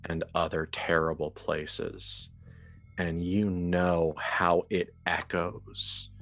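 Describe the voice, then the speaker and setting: A man runs a horror tabletop game as its theatrical narrator. He speaks extremely slowly and deliberately, leaving long pauses, in a very deep voice. The sound is slightly dull and lo-fi. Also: fairly bright tone. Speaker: game master.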